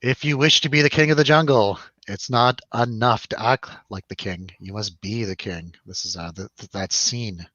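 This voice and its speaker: A man's voice: on the low side, normal speed, few pauses, a bit bright, dry recording, lo-fi sound. A man hosting a casual live movie review show with jokey, showy announcer-style introductions.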